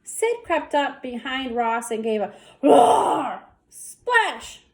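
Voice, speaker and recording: High-voiced woman reading a bedtime story slowly. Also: very animated, a little mellow. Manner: slow, deliberate, theatrical emphasis on action words. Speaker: storyteller reading a children's book. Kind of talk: expressive bedtime story read-aloud.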